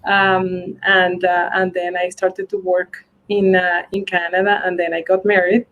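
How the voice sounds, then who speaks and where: A woman's voice: lowish voice, level tone, a little slow, fairly bright tone, highs cut off. Woman answering with many fillers. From Venezuela. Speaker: guest, global mobility manager. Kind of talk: live video interview.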